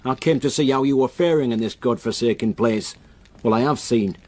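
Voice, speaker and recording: An actor playing a gruff but kindly rural man in a radio drama, plain-spoken and firm. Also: medium pitch, a little fast, a little mellow, lightly acted. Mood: firm, wary. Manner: plain-spoken, firm, dialect turns of phrase.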